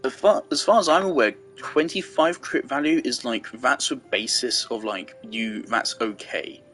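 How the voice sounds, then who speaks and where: A man's voice: mid-range voice, a little slow, fairly bright tone, soft background music. A man giving casual gameplay commentary, rambling and thinking aloud as he goes. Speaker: game streamer.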